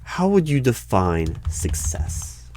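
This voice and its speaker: A man doing an ASMR interview roleplay, asking questions in a deadpan, clipped manner. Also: medium pitch, slow, a little hiss or hum. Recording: keyboard typing heard throughout. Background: keyboard typing and clicks.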